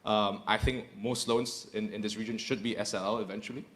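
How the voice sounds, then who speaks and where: A man's voice: lowish voice, steady tone, fairly quick, fairly bright tone, a little hiss or hum. A man, speaking earnestly as a corporate executive on a panel and repeating phrases for emphasis. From Indonesia. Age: 30s. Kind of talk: panel discussion.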